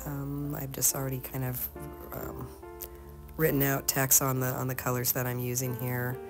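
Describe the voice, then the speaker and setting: Casting: woman host for an informal craft tutorial, very deep and a bit hoarse, halting delivery, music underneath. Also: monotone. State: slightly hoarse from being sick. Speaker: host of an art tutorial channel. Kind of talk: casual painting tutorial narration.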